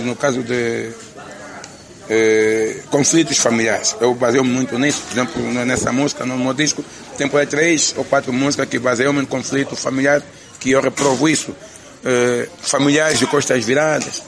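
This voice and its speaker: A man's voice: medium pitch, gravelly. A man with an Angolan Portuguese accent, a musician answering interview questions in an earnest, reflective way, restarting phrases often. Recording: crowd noise behind.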